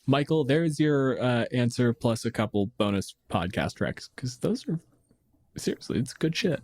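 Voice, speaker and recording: A man's voice: medium pitch, expressive, moderate pace, a little mellow. Blunt, sweary man chatting casually. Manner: blunt, profane, joking. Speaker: podcast host. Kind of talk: casual chat about tabletop gaming.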